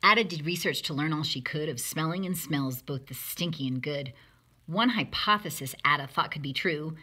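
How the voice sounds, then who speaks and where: Low-pitched, husky woman reading a children's story. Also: normal speed, sharp tone. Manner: dramatic emphasis, acting out lines. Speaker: storybook reader. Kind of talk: expressive read-aloud of a rhyming children's picture book.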